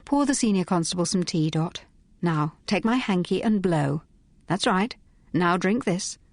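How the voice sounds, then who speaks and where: A woman reading as a poised lady detective, cool and crisp, in a low, dry voice. She gives orders calmly and argues with composure. It is a spoken storybook. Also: a little slow, no echo. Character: poised, sharp-witted young woman detective.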